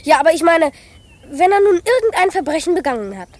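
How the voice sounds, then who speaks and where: A woman's voice: very high voice, sing-song, fast talker, a little mellow. A woman playing an earnest, proud twelve-year-old boy detective in a children's radio play, with a dramatic delivery. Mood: insistent, offended.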